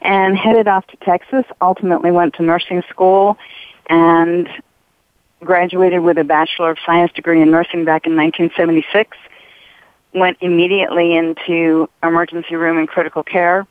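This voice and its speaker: A woman in her sixties from the East Coast of the United States, a naturopath and retired nurse calling in to an interview and telling her career in a steady, orderly way. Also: lowish voice, moderate pace, dry recording. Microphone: telephone. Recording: tinny phone line.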